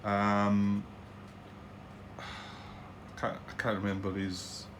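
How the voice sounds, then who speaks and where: A man's voice: on the low side, monotone, very slow, noisy background. A middle-aged man with a Scottish accent hosts casual commentary on a solo board wargame from his home desk, rambling and hesitant with lots of ums and restarts. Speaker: board wargame channel host.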